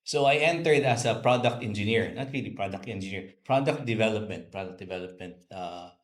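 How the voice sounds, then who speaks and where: A man's voice: lowish voice, fairly quick. A Filipino-accented man in his fifties answering interview questions off the cuff, hesitating and repeating words as he goes. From Philippines. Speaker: car company executive being interviewed. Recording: roomy, echoey indoor sound.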